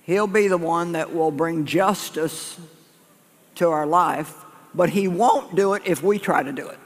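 A man's voice: on the high side, a little slow. An older man preaching a sermon to a live congregation in a folksy, conversational way, often restarting phrases and repeating points. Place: large church sanctuary. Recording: echoey church hall.

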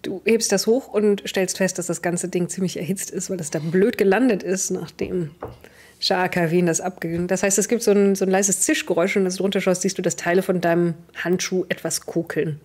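A young adult woman with a slightly husky voice, narrating a tabletop role-playing game as game master in vivid descriptive detail. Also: fairly low pitch, steady tone, brisk, a little mellow. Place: indoor home streaming setup. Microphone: headset.

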